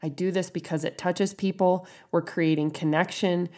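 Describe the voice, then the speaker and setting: A woman hosting a podcast, earnest and encouraging, her voice husky from a head cold. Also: on the low side, steady tone, moderate pace, darkish tone. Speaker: podcast host. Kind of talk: podcast intro and interview.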